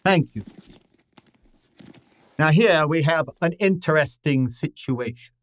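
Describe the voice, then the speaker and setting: A man playing a commanding, fussy detective genius in an old-time radio drama, speaking formally and deliberately with pompous authority. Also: on the high side, lively intonation, no echo. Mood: imperious.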